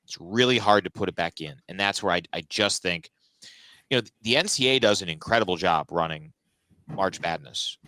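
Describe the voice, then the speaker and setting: An American man, a sports radio announcer, chatting as an interview guest and thinking aloud in an animated way. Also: deep voice, brisk, slight background noise. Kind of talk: casual interview chat.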